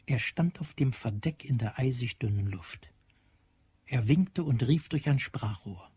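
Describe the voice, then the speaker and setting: A man's voice: medium pitch, animated, a little slow, breathy edge, no room sound. A man narrating a scripted science-fiction story in a dramatic style. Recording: loud music behind the voice.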